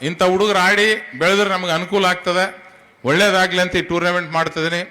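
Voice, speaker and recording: Middle aged guy w north karnataka accent making a speech, high bright voice, talks straight to the people, really emphatic. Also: little pitch movement, fast. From Karnataka, India. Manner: emphatic, colloquial, addressing listeners directly. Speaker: tournament organiser. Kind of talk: public speech at a launch event.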